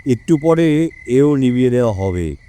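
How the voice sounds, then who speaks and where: Guy acting a cool wry detective in an audio story, soft mellow tone, lots of melody. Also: medium pitch, expressive, unhurried, smooth, natural voice. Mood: dry. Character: composed, dryly teasing male detective.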